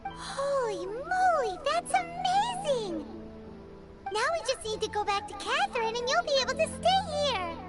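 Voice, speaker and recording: A woman's voice: very high voice, sing-song, a little slow, exaggerated voice. An actress voicing a tiny, chirpy floating girl companion who talks about herself in the third person, in a video game. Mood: amazed. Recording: game audio with music underneath.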